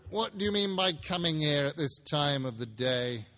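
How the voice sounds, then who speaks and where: A man's voice: fairly high pitch, animated, moderate pace, sharp tone. Man playing a giddy, hearty old miser, Scrooge. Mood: mock growling, stern. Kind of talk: dramatic storybook reading.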